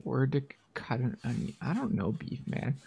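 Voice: mid-range voice, big pitch swings, moderate pace, vocal fry, crowd noise. A livestream host chatting casually with a guest in an informal, encouraging way. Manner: informal and encouraging, with 'man' interjections. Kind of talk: casual chat.

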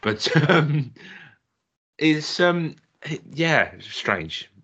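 A man's voice: fairly high pitch, sing-song, very slow, a bit bright, slightly rough, highs cut off. A man co-hosting a podcast, joking and laughing, with lots of hesitant fillers. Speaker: podcast co-host. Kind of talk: casual chat.

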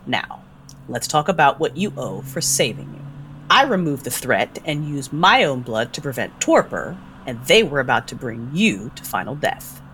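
Tough low-voiced vampire woman, animated actress playing. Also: normal speed, a little hiss or hum, exaggerated voice. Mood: cool, businesslike. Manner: blunt, commanding, hard-edged. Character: tough, bossy, calculating female vampire fixer. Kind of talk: audio drama dialogue.

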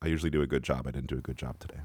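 A man co-hosting a comedy podcast, with a stuffed-up nose and a deadpan, mock-apologetic way of talking. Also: a bass voice, very fast, breathy edge, dry recording. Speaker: podcast co-host.

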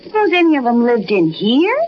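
An actress playing a spirited, playful young bride with a defiant streak in a 1940s radio drama. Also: female voice, fairly high pitch, very animated, a little fast. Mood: chatty. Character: spirited, adventurous young bride exploring a cave. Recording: muffled, noisy 1940s radio recording.

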